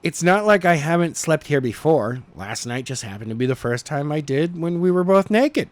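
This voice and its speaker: A man's voice: on the high side, animated, normal speed, dry recording, close to an everyday voice. A man reading the part of a casual, unbothered teenage boy in an audiobook story. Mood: nonchalant. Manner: nonchalant. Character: laid-back teenage boyfriend, casual and unbothered.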